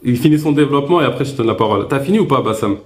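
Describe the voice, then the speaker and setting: A man hosting a live online debate, calmly steering the talk and handing over the floor. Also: medium pitch, racing, faint music behind. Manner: calm, interjects to steer and hand over the floor. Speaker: host of a live debate stream. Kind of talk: casual debate moderation.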